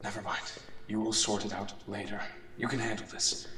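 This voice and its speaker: A man acting an uneasy, squeamish but conscientious doctor in a radio drama, speaking formally and haltingly in internal monologue. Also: lowish voice, flat delivery, pushed and bright, reverberant, far from the mic. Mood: brooding. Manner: halting, formal, self-questioning.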